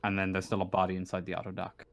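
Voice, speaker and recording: A man running a tabletop roleplaying game as game master, thinking aloud in a halting way as he improvises. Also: deep voice, monotone, gravelly, dry recording. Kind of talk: narrating and refereeing a tabletop game.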